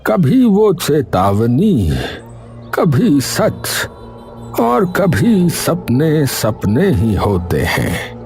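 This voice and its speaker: Man acting a slow, foreboding old village grandfather. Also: fairly high pitch, wildly swooping pitch, darkish tone, soft background music, exaggerated voice. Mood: dismissive, grave. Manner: slow, portentous warnings.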